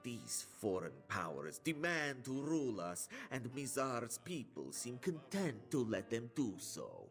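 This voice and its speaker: An actor playing a weary, resigned lion-man merchant in a fantasy game, speaking in a measured manner. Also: medium pitch, very animated, soft background music.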